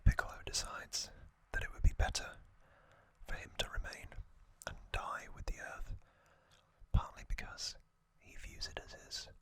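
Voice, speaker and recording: An ASMR host whispering an unhurried, unscripted-sounding explainer in a breathy, unvoiced whisper, pausing often as they go. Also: a bit bright, no echo.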